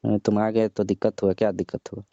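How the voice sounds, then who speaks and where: A man's voice: fairly low pitch, flat delivery, fast talker, mellow, dry recording. A man on a staged comedy phone call who talks in a grumbling, argumentative way. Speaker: caller. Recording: muffled phone call recording.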